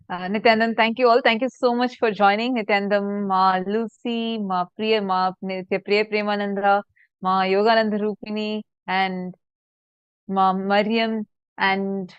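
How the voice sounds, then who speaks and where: A woman's voice: medium pitch, clear, resonant tone, no echo, dull audio. A woman host welcoming guests politely, a little hesitant.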